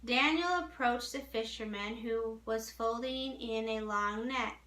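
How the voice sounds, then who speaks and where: A woman reading a story aloud as narration, deliberately, with small stumbles over the text, in a roomy, echoing recording. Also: a little high, pushed and bright.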